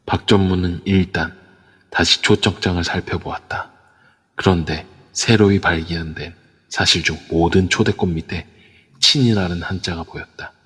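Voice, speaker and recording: A man's voice: deep voice, steady tone, moderate pace, a bit bright, slightly rough, long reverb tail. A man narrating an audio drama steadily from a script.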